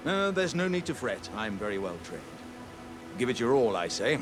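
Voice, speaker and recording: A man's voice: mid-range voice, sing-song, moderate pace, over music, close to an everyday voice. Man voicing a hearty, swooping warrior jar. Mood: relieved, genial. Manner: hearty, courtly, old-fashioned theatrical phrasing. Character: jovial, polite, boastful living warrior jar, eager and good-natured. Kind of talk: video game character dialogue.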